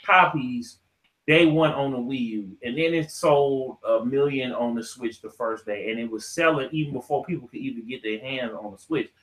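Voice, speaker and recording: An American man co-hosting a casual podcast debate, talking in slang, often halting and repeating himself. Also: mid-range voice, a bit bright, reverberant, low-quality recording. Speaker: podcast co-host.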